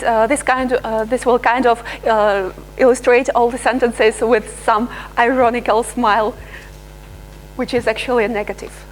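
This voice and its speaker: A woman researcher giving a live software demonstration, pausing often with fillers and restarting her sentences. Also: fairly high pitch, slight background noise, poor audio. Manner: hesitant, many filler sounds and restarts. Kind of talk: live software demo talk.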